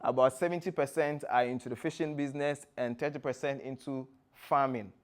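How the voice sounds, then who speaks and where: A man from Ghana with a Ghanaian accent, giving a presentation in a deliberate, explanatory way, phrase by phrase. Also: mid-range voice, steady tone. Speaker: guest speaker, former tour guide. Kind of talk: presentation to an audience with slides.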